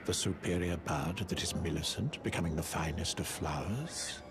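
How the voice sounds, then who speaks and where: A man's voice: deep voice, moderate pace, over music, close to an everyday voice. A man playing a fawning, scheming scholar in a video game, obsequious and theatrical, with hesitant politeness and sinister devotion. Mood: sinister pleading. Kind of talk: video game character acting.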